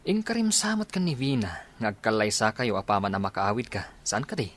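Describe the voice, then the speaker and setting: A man's voice: fairly low pitch, lively intonation, a little fast, fairly bright tone. A man playing a brotherly, teasing soldier in his twenties in casual Ilocano audio drama dialogue. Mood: teasing, friendly.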